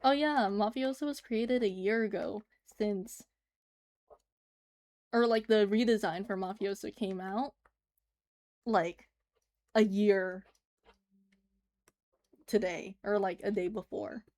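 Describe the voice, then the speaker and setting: A woman's voice: medium pitch, steady tone, slow pace, long pauses, a little mellow. A woman livestreaming as she draws, chatting casually and giggling as she answers her chat. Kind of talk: casual chat while drawing on stream.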